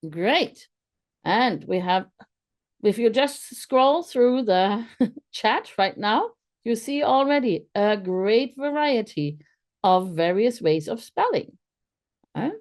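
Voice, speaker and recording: A German-born woman, a teacher trainer, giving an online lecture in an unhurried, thoughtful way with many pauses and fillers. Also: fairly high pitch, lively intonation, darkish tone. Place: video call.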